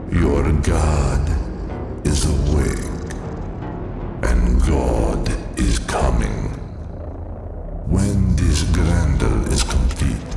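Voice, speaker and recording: A man voicing an ancient, haughty dark god in a motion comic, speaking in a grandiose, menacing, gravelly way. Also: rumbling low, full character voice. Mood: ominous, threatening. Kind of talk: dramatic comic book villain monologue. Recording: cavernous echo under loud music.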